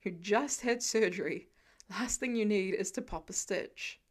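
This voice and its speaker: A woman voicing a wry, protective man, a father figure in an audiobook, dry and gently scolding. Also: medium pitch, a little slow, pitched below the performer's own voice, natural voice.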